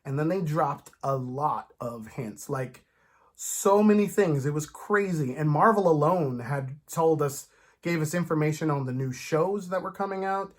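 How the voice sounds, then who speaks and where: Man in casual, animated fan chat. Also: fairly high pitch, measured pace. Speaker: co-host of a fan discussion show.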